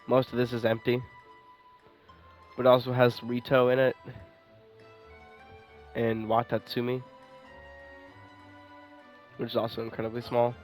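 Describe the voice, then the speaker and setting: A man's voice: medium pitch, completely flat delivery, measured pace, long pauses. Man rambling casually over game music. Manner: rambling, swears casually, thinking aloud. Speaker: gaming streamer playing and commenting. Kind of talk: casual gameplay commentary.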